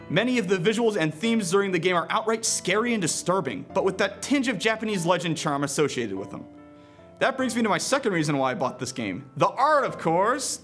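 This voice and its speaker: Male reviewer, higher-pitched and very lively in intonation, playful scripted narration with casual asides, faint music underneath. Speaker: video game reviewer.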